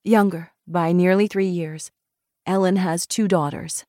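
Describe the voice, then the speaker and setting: Woman narrator as reserved woman doctor, wry, terse, guarded, lowish pitch, audiobook character. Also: steady tone, unhurried, dark tone, no echo, studio quality, natural voice.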